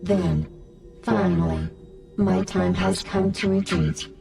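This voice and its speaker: A woman voicing a solemn, cryptic guardian spirit king in a video game, with a creaky, gravelly voice and a grandiose manner. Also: medium pitch, fairly even intonation, mellow, distant mic. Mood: solemn, weary. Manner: slow, grandiose, pronouncing. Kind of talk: scripted video game dialogue. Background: music.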